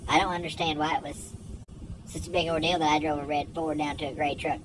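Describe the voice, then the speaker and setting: A man's voice: fairly high pitch, level tone, fast talker. A man in a comedic vlog who plays a tour guide and rambles to his phone camera and to people off camera. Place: outdoors, by a lake, then indoors in a truck cab. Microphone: phone at arm's length. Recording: noisy outdoor phone recording.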